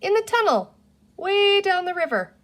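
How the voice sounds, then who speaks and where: A woman's voice: very high-pitched, very animated, moderate pace, fairly bright tone, pitched above the performer's own voice, heavily acted. A woman voicing a chirpy, mischievous little girl in a children's storybook. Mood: excited.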